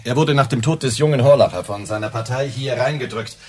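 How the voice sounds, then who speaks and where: An actor playing a laconic, matter-of-fact local police officer in a naturalistic radio crime play. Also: male voice, mid-range voice, fairly quick, breathy edge, natural voice. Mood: frank, informative.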